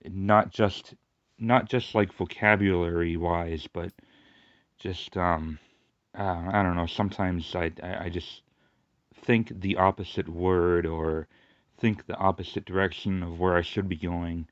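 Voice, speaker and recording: A man with a lateral lisp, rambling through a casual personal monologue with halting restarts and fillers. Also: low-pitched, steady tone, no echo, muffled recording. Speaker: YouTuber.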